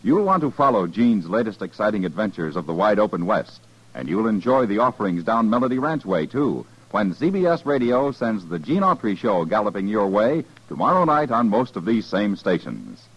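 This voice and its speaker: A man's voice: fairly low pitch, sing-song, normal speed, slightly rough. An American man announcing in the promotional style of 1950s network radio. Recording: dull old radio recording.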